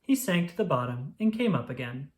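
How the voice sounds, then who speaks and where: Expressive, animated children's storybook narrator. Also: medium pitch, brisk, low-quality recording.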